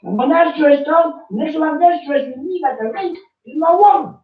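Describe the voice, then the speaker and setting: A man's voice: very high-pitched, lively intonation, normal speed. Fiery ranting man in an echoey room. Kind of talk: impassioned rant. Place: small study room with bookshelves, indoors. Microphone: far room camera. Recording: echoey, distant, dull room recording.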